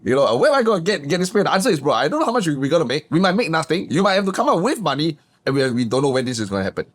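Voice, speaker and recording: A man, a guest business coach telling his backstory in a lively, emphatic way. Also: very fast. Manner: animated, emphatic, swings pitch up for punchlines. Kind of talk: casual interview storytelling.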